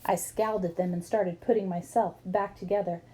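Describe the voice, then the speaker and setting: A woman's voice: medium pitch, steady tone, moderate pace, dark tone. A woman reading a book aloud expressively, as for children, acting up the dialogue. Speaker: book reader.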